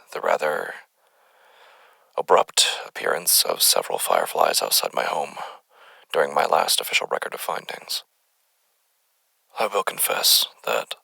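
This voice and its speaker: A man playing an unsettled doctor who dictates formal, deliberate case findings on the paranormal in a horror audio drama. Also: low-pitched, gravelly, bright, forceful tone, husky, no room sound, full character voice. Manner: formal, deliberate, halting, wordy. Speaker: investigator narrator.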